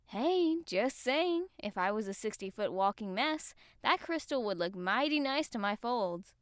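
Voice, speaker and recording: A woman's voice: on the high side, lively intonation, unhurried, dry recording, studio quality. A woman voicing a crude, joking, laid-back young female gamer in an audiobook, casual and wry. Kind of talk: audiobook character voice.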